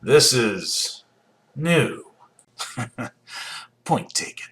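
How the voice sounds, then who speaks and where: An actor playing a confident, coolly ruthless sci-fi schemer in a video game, wry and clipped, with a rough husky voice. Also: male voice, medium pitch, animated, drawn-out words, fairly bright tone, a little hiss or hum, heavily acted. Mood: intrigued.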